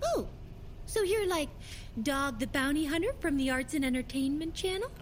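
Folksy, eager Canadian wife, high and very animated, for an audio comedy. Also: female voice, moderate pace, a little hiss or hum. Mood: sweet, curious. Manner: sweet, folksy, chirpy. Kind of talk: comedy audio drama acting.

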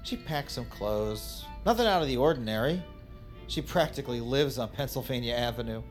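Actor as cold doctor with animated pitch. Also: male voice, soft background music, natural voice. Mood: dismissive. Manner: dismissive, halting, accusatory. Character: cold, self-important male doctor, resentful and dismissive. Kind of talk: audio drama acting.

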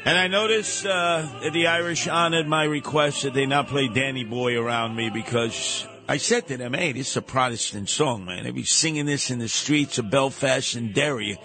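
A man's voice: mid-range voice, moderate pace, hardly any pauses. A man with a New York accent hosting a talk radio show, delivering a combative, emphatic, slangy monologue. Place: radio studio. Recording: music playing behind the voice.

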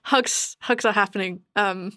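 A woman's voice: mid-range voice, unhurried, sharp tone, no room sound, clean studio sound. A woman acting as game master, narrating a tabletop game in an informal, conversational way.

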